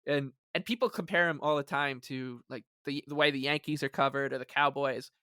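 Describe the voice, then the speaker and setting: A man co-hosting a casual sports podcast, rambling along in an animated, emphatic way. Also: mid-range voice, a little fast, smooth, no echo, studio quality. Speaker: podcast co-host.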